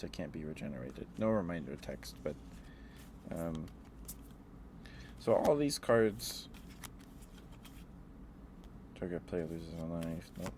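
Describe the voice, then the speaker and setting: A man's voice: low-pitched, darkish tone. A man streaming rambling, hesitant ASMR chat in a meandering way, close to the microphone. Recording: clearly noisy room ambience.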